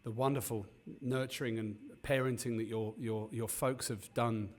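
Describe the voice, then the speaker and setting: A man's voice: medium pitch, fairly even intonation, moderate pace, darkish tone. A man hosting an interview over a video call, chatting in a friendly, rambling way with many restarts. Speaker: podcast host. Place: Cape Town.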